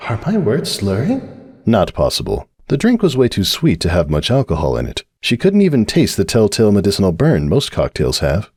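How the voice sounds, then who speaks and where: A man narrating a dramatic audiobook-style reading in an expressive storytelling manner, recorded dry and close. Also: fairly low pitch, fairly quick, hardly any pauses, slightly rough, no echo.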